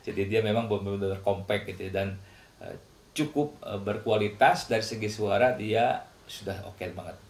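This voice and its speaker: Low-voiced Indonesian man in an echoey room. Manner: explanatory, conversational, often tags phrases with "ya" and "gitu". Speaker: product reviewer and host. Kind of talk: casual spoken product review and demonstration.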